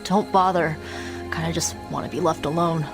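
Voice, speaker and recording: A woman's voice: deep voice, fairly even intonation, normal speed. A woman acting an emotional, stubborn young hero in a dramatic video game. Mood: weary, bitter. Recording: music and effects behind.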